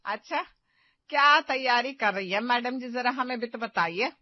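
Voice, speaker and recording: A woman's voice: fairly high pitch, moderate pace, no room sound, close to an everyday voice. Woman playing haughty, scolding cartoon mother-in-law, muffled. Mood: demanding, sarcastic. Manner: sarcastic, gossipy, scolding. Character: haughty, demanding middle-aged mother-in-law, sharp-tongued. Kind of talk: animated moral-story cartoon acting.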